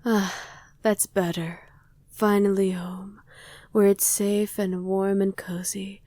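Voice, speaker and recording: A woman playing a tender, doting, teasing vampire wife in an intimate ASMR roleplay, speaking softly and breathily, often in a whisper. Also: medium pitch, level tone, slow pace, lightly acted. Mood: cozy, affectionate.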